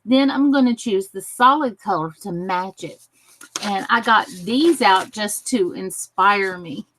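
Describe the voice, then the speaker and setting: A woman hosting a craft livestream in a chatty, giggly way, greeting viewers, her voice a little croaky. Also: medium pitch, a little slow, a bit bright, a little hiss or hum, rough recording. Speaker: crafting channel host. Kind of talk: casual craft tutorial livestream.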